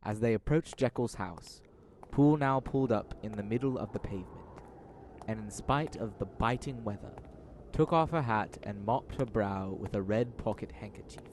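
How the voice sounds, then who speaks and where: Lowish-voiced male radio drama narrator. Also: normal speed, a little mellow, a little hiss or hum. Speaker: announcer and narrator. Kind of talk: scripted audio drama narration.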